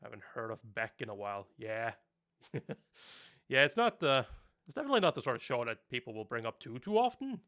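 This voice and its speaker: A man's voice: medium pitch, very animated, fairly quick, fairly bright tone. A young man from Norway, a native Norwegian speaker talking in English, chatting casually on a live stream, rambling and laughing often.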